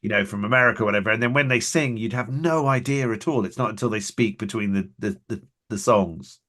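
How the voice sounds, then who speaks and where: Husky-voiced male podcast host. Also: fairly low pitch, fast talker, a bit bright. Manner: friendly, hesitant, many restarts. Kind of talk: casual interview chat.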